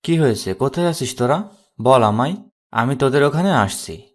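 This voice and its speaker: A man's voice: mid-range voice, expressive, moderate pace, natural voice. A man acting a stern, worried father. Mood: anxious, urgent. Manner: stern, urgent questioning. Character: worried, scolding middle-aged father of a grown son. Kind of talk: Bengali audio story acting.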